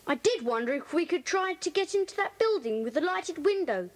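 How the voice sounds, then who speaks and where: Audio play role: adventurous child, very high-pitched, animated, curious. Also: female voice, a little fast. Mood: curious, eager. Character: curious, adventurous young child club member. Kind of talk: children's audio drama acting.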